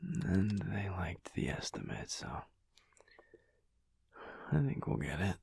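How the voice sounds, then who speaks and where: Guy acting as a low gravelly werewolf husband, teasing and affectionate, breathy whispery audio rp. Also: very animated, darkish tone, heavily acted. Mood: relaxed, murmuring. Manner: slow, murmured, playful delivery with long pauses. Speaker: audio roleplay voice actor. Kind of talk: intimate whispered audio roleplay. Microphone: close mic, dry.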